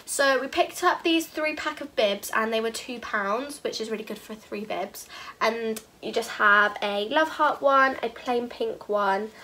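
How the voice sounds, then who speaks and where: A woman's voice: on the high side, measured pace, pushed and bright. A British woman in her twenties, a vlogger chatting to camera in her bedroom, gushing and repeating herself, a bit bunged up with a cold. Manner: gushing, repeats "really, really cute". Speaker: haul vlogger. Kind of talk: casual chat to camera. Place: bedroom, indoors.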